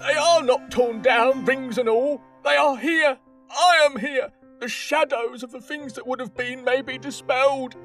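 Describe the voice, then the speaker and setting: Giddy, high-pitched old miser reborn. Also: male voice, measured pace, a bit bright, far from the mic, faint music behind, exaggerated voice. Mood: fervent relief. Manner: exuberant, breathless, exclamatory. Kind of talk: audio drama acting.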